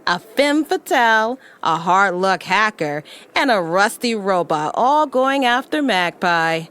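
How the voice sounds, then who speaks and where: A woman playing a skeptical, wisecracking hard-luck hacker in an audio drama, with a sardonic, animated delivery. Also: mid-range voice, unhurried, clean tone. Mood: skeptical, wry. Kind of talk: scripted audio drama acting.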